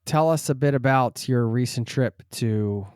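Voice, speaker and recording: A man's voice: medium pitch, a little slow, darkish tone, clear, resonant tone, no room sound, clean studio sound. A man hosting a podcast, joking and asking questions in a playful way. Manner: joking, playful, laughs often. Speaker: podcast host. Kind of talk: casual interview chat.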